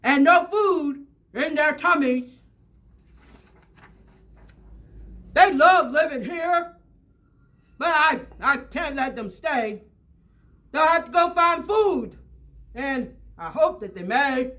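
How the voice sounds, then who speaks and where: A woman's voice: high-pitched, animated, takes it slowly, a bit bright, full character voice. A woman voicing an indignant, scolding storybook creature with a querulous, emphatic delivery. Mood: sorrowful.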